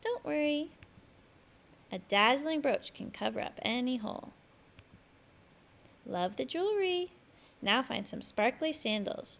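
A woman hosting a read-aloud for children, narrating picture books in an expressive, emphatic way. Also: high-pitched, gravelly. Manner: animated, emphatic delivery aimed at young children.

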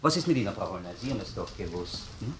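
A man's voice: fairly low pitch, sing-song, rapid-fire, a little hiss or hum. A man playing a sardonic, relentless police interrogator in a radio crime drama, with a Viennese accent and taunting, repetitive questions. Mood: quietly triumphant. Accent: Austrian, Viennese. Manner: taunting rhetorical questions, repeats words, tag questions. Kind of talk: German-language radio crime drama acting.

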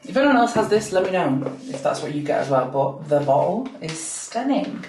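Brief: deep-voiced woman in her twenties, gushing vlog chat, echoey room, camera set back. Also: very animated, normal speed, darkish tone, distant mic, slight background noise. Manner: chatty, gushing, giggly. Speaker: vlogger. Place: home bathroom at night, then a bright kitchen. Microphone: camera on a low stand.

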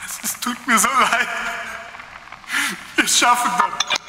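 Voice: mid-range voice, slow pace, close to an everyday voice. An actor in a German horror audio drama playing a panicked young friend trapped in a cave, stammering and pleading urgently. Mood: desperate pleading. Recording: echoey cave reverb with clear background noise.

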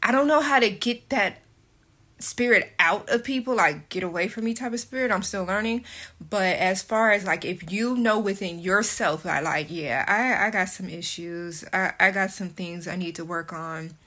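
A woman's voice: mid-range voice, normal speed, bright, forceful tone. Candid woman vlogging from her kitchen. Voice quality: speech impediment. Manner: candid, blunt, casual with cursing. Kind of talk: casual storytelling to camera. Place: kitchen and living room at home, indoors. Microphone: phone camera held close.

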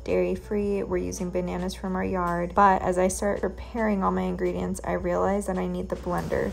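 Young mom vlogger talking thru her meal prep, kinda gravelly, even flat tone, light music under it. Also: fairly low pitch. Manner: matter-of-fact, explains each step. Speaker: lifestyle vlogger. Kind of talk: casual voice-over narration. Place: home kitchen.

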